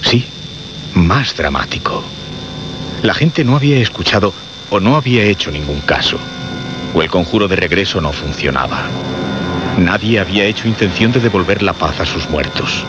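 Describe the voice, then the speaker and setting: A man giving solemn, suspenseful horror narration with dramatic pauses and repetition. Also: sits low, expressive, noisy background. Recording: muffled radio recording with music behind.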